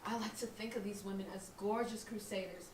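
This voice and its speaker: A woman's voice: medium pitch, little pitch movement, brisk, close to an everyday voice. A young woman playing a sarcastic, dramatic aspiring designer in a comedic play, with a theatrical, teasing delivery. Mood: self-assured. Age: young adult. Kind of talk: comedic stage acting. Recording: echoey room, distant mic, background hiss.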